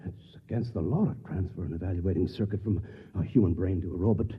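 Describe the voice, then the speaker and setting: An actor playing a determined, alarmed ordinary man in mid-century American radio drama, with a husky, urgent, earnest delivery. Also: low-pitched, quick pace. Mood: alarmed curiosity. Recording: muffled, reverberant old radio recording.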